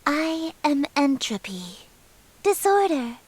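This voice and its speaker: Woman playing a slow, high-voiced haughty eldritch monarch. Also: big pitch swings, darkish tone, heavily acted. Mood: grandiose, cold. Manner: imperious, formal, theatrical, deliberate. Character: haughty, menacing eldritch queen who calls herself king. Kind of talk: visual novel game voice acting.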